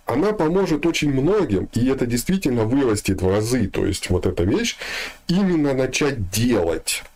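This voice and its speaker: A man speaks in a mid-pitched voice with very lively, swinging intonation. He gives a spiritual talk in an informal, colloquial way, dropping in slang and turning straight to his listeners.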